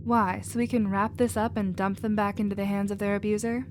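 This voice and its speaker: A woman playing a gentle, emotional alien scientist in a scripted ASMR roleplay, earnest and expressive in manner. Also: mid-range voice, fairly quick, slight background noise, close to an everyday voice. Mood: upset, protective. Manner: soft-hearted, earnest, expressive.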